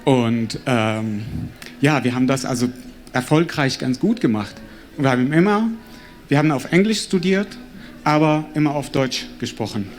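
A man performing spoken word on stage, talking directly to the audience with wide, animated swings of voice. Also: moderate pace. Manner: animated, addresses the crowd directly, swings pitch widely. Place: stage before a live audience.

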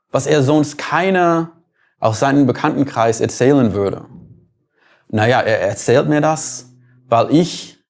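A man from the United States with an American accent, giving an explanatory lecture, thoughtful and sometimes searching for words. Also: mid-range voice.

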